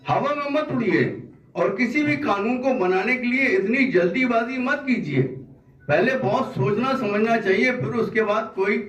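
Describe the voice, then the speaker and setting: A man's voice: extremely high pitch, lively intonation, moderate pace, fairly bright tone. A man making a public statement of grievance in an insistent, argumentative way, with rhetorical questions and repetition. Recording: echoey, muffled, distant microphone.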